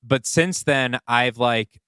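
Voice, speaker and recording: Man, slightly higher-pitched than middle, podcast co-host, casual, explanatory, brainstorming aloud, dry room. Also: takes it slowly, fairly bright tone, clean tone, dry recording. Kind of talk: casual collaborative discussion. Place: indoors, two people seated across from each other.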